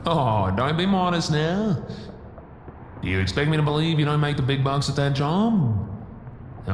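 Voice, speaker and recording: A man acts out a greedy, sleazy landlord for an audio roleplay. His slangy, wheedling voice sits on the high side and leaps around in pitch. It rings in an echoing hallway with a faint layer of noise. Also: lightly acted. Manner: slangy, oily, hectoring. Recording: echoey hall with faint hiss.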